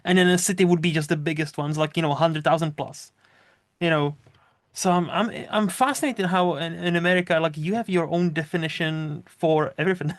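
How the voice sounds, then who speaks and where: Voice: mid-range voice, no echo. A podcast host, talking in a loose, chatty way that keeps wandering off on tangents. The voice sits in a middling register. It is recorded close and dry, with almost no room sound. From Czech Republic. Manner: chatty, digressive, quick to laugh. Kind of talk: casual chat.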